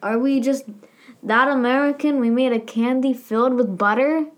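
Young woman cracking jokes, slightly high. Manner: joking, quizzical, quick with comebacks. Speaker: podcast co-host. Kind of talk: casual chat.

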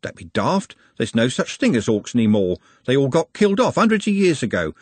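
A man's voice: medium pitch, sing-song, fairly quick, darkish tone, husky, no echo, voice pushed up. A man voicing a sceptical, know-all butler in a storybook, matter-of-fact and colloquial.

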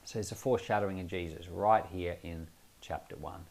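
A man's voice: deep voice, brisk, vocal fry, a little mellow. A man speaking as a pastor, giving informal Bible teaching in a conversational, explanatory way with emphatic repetition. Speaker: pastor and Bible podcast host.

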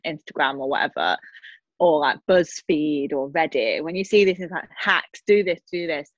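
A woman's voice: low-pitched, normal speed, dry recording. A woman in her thirties with a Northern English accent, co-hosting a casual chat with a friend and teasing her with frequent laughs. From Northern England. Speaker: podcast co-host. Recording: dull, dry lo-fi sound.

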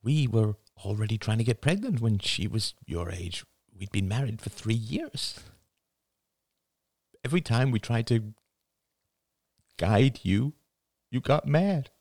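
A man voicing a grieving elderly father in an audiobook, gentle and halting, close to tears. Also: medium pitch, expressive, no echo, pitched above the performer's own voice. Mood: sorrowful, tender. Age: older. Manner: halting, sighing, breaking into sad laughter. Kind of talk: dramatic audiobook dialogue.

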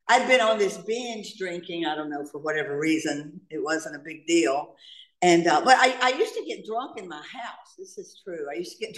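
A woman's voice: fairly low pitch, a bit bright, far from the mic. A woman from North Carolina, telling her own recovery story with humor to a meeting. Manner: humorous storytelling, laughs often. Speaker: AA meeting speaker. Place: church meeting hall. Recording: echoey hall, speaker off-mic.

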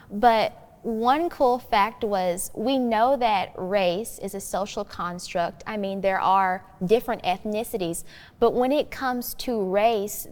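A young woman speaking earnestly and conversationally as a podcast guest, drawing out the phrases she stresses. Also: mid-range voice. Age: young adult. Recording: long hall-like reverb.

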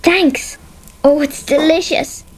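A woman's voice: high voice, fairly even intonation, slow pace, a little hiss or hum. An actress with an Irish accent playing an earnest, brave young girl who also narrates in a children's audio drama.

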